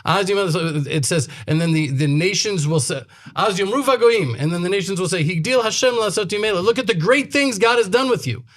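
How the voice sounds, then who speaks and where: Earnest, heartfelt man, fairly high voice. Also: expressive, a little fast. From born in Texas, USA, living in Israel. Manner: earnest, heartfelt, quotes Hebrew scripture. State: came straight from reserve duty. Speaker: co-host. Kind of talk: casual panel talk.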